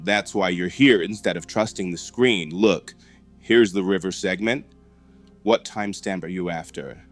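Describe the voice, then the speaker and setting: A man's voice: deep voice, a little slow, faint music behind. A man playing a methodical, matter-of-fact computer technician in a found-footage horror film, speaking precisely and wryly. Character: dry, methodical male computer technician at a dinosaur park.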